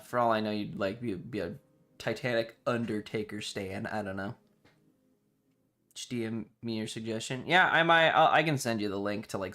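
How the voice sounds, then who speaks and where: A man's voice: mid-range voice, bright, forceful tone. Rambling male streamer with music behind. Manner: rambling, enthusiastic, casually sweary. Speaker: livestreamer. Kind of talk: casual livestream chat.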